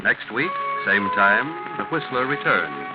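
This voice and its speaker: Low-voiced 1940s American radio announcer, male. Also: sing-song, measured pace. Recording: muffled 1940s radio recording. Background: loud music.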